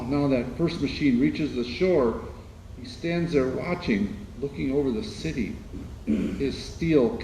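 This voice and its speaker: A man playing a solemn, increasingly desperate radio announcer giving halting, dramatic eyewitness reports in a 1930s radio drama style. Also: mid-range voice, gravelly, darkish tone. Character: male radio announcer describing invasion, solemn, growing desperate. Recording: echoey room with noise.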